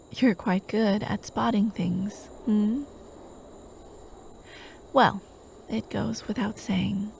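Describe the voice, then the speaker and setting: A woman playing a doting, possessive female hypnotist in a soft-spoken ASMR roleplay, gentle and coaxing, often slipping into a whisper. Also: medium pitch, gravelly, slight background noise. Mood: gently teasing. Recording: steady outdoor night ambience, chirping crickets. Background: crickets and night insects.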